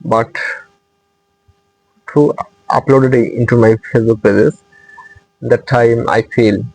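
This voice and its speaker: A man's voice: medium pitch, steady tone, slow pace, vocal fry, darkish tone, dry recording, rough recording. A young man from Bangladesh whose first language is Bengali, speaking English with a Bangladeshi accent as he earnestly gives a motivational talk to his channel's viewers. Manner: earnest, repetitive phrasing in non-native English. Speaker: English-learning channel host.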